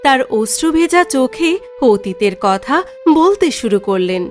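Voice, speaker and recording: High-pitched woman narrating a fairy tale. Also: expressive, measured pace, faint music behind.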